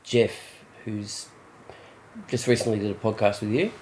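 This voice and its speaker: A man's voice: on the low side, steady tone, measured pace, darkish tone, a little hiss or hum, rough recording. Easygoing male podcast host. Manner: affirming, lots of yeahs and ums. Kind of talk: casual interview chat.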